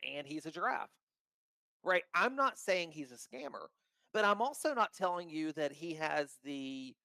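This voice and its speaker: A man who founded a crypto token, talking in a casual podcast chat, outspoken and blunt with a loud voice and free swearing. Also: on the high side, no echo.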